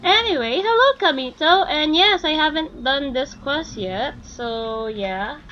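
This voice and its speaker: A woman's voice: high voice, animated, measured pace, dull audio, a little hiss or hum. A woman chatting casually with viewers as she livestreams a game, reacting in short interjections. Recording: game music behind voice.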